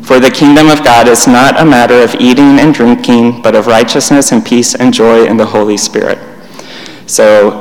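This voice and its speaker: A young man speaking in a self-described monotone, giving a plain, unhurried church teaching talk with pauses and restarts. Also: medium pitch. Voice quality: monotone delivery. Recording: long hall reverb.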